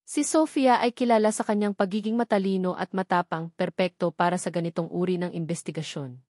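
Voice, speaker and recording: A woman's voice: lowish voice, brisk, a little mellow, no echo, clean studio sound. A woman narrating a scripted dramatic story.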